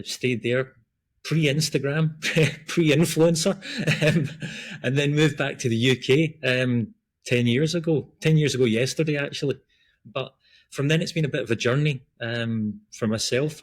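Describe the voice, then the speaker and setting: A middle-aged man from Glasgow with a Scottish accent, chatting as a podcast guest in a rambling, easygoing way. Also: medium pitch. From Scotland. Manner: rambling, chatty, frequent restarts and ums. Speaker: guest, breath work and running coach. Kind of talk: casual podcast conversation.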